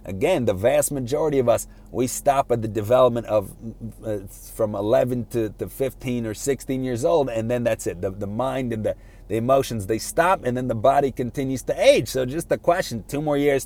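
Mellow-voiced man, halting informal talk. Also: medium pitch. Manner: halting, repeats words and rephrases often. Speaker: speaker giving a self-improvement talk. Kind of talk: informal motivational lecture.

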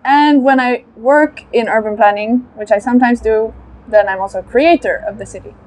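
A woman's voice: a little high, a little fast, darkish tone, rough recording. A woman who works in urban planning, answering interview questions in an articulate, structured way. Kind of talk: casual street interview. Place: outdoor fair in a park.

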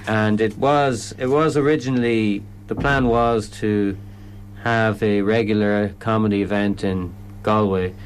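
A man's voice: medium pitch, slight background noise, rough recording. An Irish man explaining his comedy event in a radio interview, often stopping and restarting sentences. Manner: hesitant, lots of restarts.

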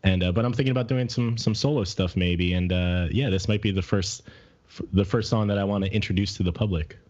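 Man, middle-aged, deep low voice, candid, reflective, hesitant phrasing, casual podcast talk, dull lo-fi sound. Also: fairly quick, darkish tone. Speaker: podcast co-host and musician. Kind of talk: casual personal conversation.

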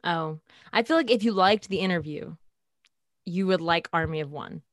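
A woman's voice: lowish voice, moderate pace, no echo, clean studio sound. A woman on a podcast panel, chatting casually about films. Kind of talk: casual chat.

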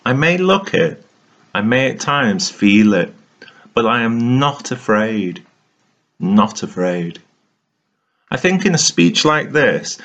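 A man giving a dramatic, motivational spoken-word reading in an earnest, emphatic, deliberate way. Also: on the low side, a bit bright. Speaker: reader of a written monologue. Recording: dull, lo-fi recording.

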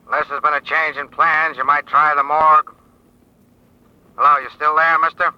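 An actor playing a sardonic, deadpan police sergeant on a telephone in an old-time radio drama, with a droll manner. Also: male voice, a little high, moderate pace, no echo. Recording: dry, dull old radio recording.

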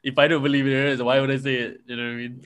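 A man's voice: mid-range voice, little pitch movement, racing, a bit bright. Casually swearing man in conversation. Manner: swears casually, muses aloud. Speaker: podcast host. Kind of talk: casual chat.

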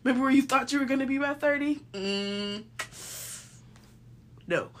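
A woman's voice: high-pitched, normal speed. A woman in her late twenties co-hosting a casual chat, telling stories with a laugh and acting out what people said. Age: 20s. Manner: laughing, animated storytelling with acted-out quotes. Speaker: podcast co-host.

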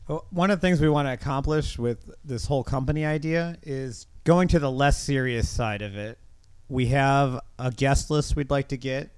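A middle-aged man talks casually as a podcast host. His voice is clean and smooth, recorded close and dry, and he tells his stories in an earnest, reflective way. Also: medium pitch, no room sound.

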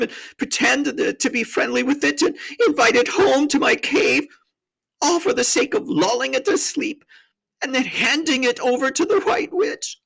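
A narrator voicing a male faun, a guilt-ridden, self-pitying woodland creature, crying as he speaks in drawn-out wails. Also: mid-range voice, creaky, fairly bright tone, breathy edge, pitched above the performer's own voice, full character voice, poor audio. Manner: wailing, drawn-out, self-reproaching.

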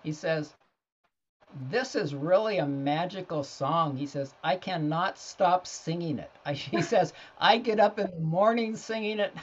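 A man's voice: high-pitched, unhurried. A man speaking as a podcast guest, telling things in a casual, anecdotal way on slightly lo-fi audio. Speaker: podcast guest, children's author. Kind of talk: casual anecdotal interview talk.

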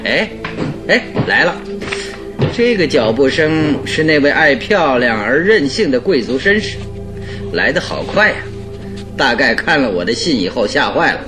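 A man's voice: medium pitch, sing-song, normal speed, music in the background, close to an everyday voice. Shrewd male detective played by a man, radio drama, theatrical, very varied intonation, assured. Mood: amused. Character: shrewd, jovial gentleman detective, calm and in control. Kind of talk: radio drama acting in Mandarin.

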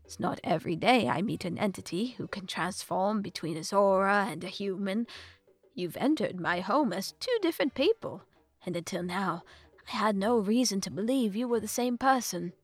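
A woman's voice: mid-range voice, lively intonation, normal speed, breathy edge, no room sound. A woman voicing an old male professor, eager, inquisitive and theatrical, in a storybook narration. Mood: earnest. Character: excitable, curious old male professor and researcher.

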